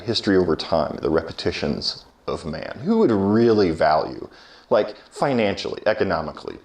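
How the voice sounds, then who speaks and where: A man who teaches history, talking alone in a measured, reflective way with frequent pauses and restarts. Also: sits low. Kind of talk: solo talk to camera.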